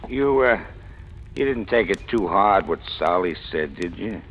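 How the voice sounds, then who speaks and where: An actor playing a talkative, self-deprecating ex-convict in an old radio crime drama, with a gravelly, folksy, slangy delivery. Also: male voice, low voice, expressive, measured pace, a bit bright. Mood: casual, needling. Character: talkative, self-deprecating ex-convict stick-up man, cheerfully fatalistic. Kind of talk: 1940s-50s radio crime drama acting. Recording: muffled, hissy old radio recording.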